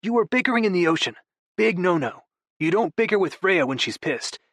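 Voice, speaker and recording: A bossy young brother who lectures with pointed, know-it-all confidence, as a male narrator puts him on. His voice is lifted well above the reader's own pitch. It is read dry and close for an audiobook. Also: sing-song, a little fast, fairly bright tone, no room sound, exaggerated voice. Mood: lecturing.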